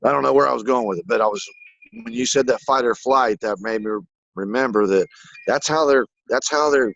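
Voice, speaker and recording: A man in a casual spiritual conversation who rambles excitedly and swears casually. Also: mid-range voice, brisk, vocal fry, no room sound, dull audio.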